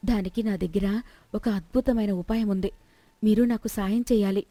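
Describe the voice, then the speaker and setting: A woman's voice: mid-range voice, steady tone, normal speed, warm tone, dry recording, close to an everyday voice. A woman voicing a clever young daughter-in-law, earnest and firm, in a moral story. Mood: scheming, reassuring.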